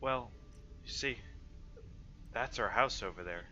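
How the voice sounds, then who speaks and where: A man's voice: medium pitch, measured pace, sharp tone, highs cut off, pitched above the performer's own voice. Man playing a hesitant, polite little girl. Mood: pleading. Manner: hesitant, stammering. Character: polite, timid nine-year-old girl asking for help.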